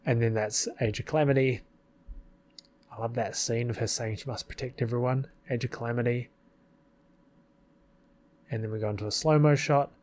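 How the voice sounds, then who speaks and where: A man's voice: mid-range voice, steady tone, moderate pace, pauses often, darkish tone. A middle-aged man giving casual, self-deprecating video commentary, his mouth partly numb. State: mouth partly numb after dental work. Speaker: video creator. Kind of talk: casual rambling commentary over a film edit. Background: background music.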